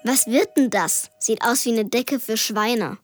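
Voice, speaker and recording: A woman's voice: on the high side, unhurried, faint music behind. A woman playing a snide, teasing young girl with a dry, needling manner in a children's radio play. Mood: mocking.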